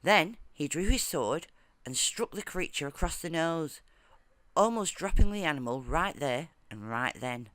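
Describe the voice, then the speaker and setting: A storyteller narrating a folktale from a script in measured, formal storybook phrasing. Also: mid-range voice, lively intonation, fairly bright tone, no room sound.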